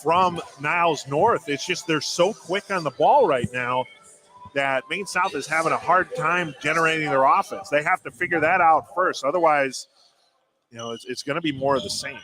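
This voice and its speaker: A man's voice: on the high side, moderate pace, sharp tone. An American man giving conversational live analysis of a basketball game as the color commentator.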